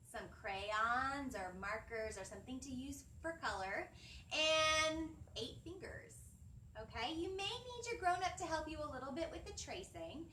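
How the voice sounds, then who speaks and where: A woman hosting a children's storytime, expressive and sing-song, speaking directly to young listeners. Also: a little high, slight background noise. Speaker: children's librarian, storytime host. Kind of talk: animated picture-book reading and instruction for young children. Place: library room, seated indoors.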